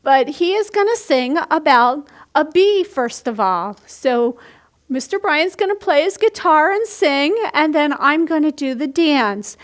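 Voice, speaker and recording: A woman's voice: sits high, animated. A woman hosting a children's storytime, explaining and demonstrating simple actions step by step for young listeners. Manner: slow, explanatory, demonstrating actions as she speaks. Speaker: children's library storytime host.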